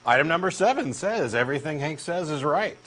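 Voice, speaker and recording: A man's voice: fairly high pitch, animated, a little fast, fairly bright tone, a little hiss or hum. A man with a Texan accent reading a comic dialogue as an eager, insistent believer. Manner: eager, insistent true believer, earnest and pushy. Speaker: co-host doing a comic dramatic reading.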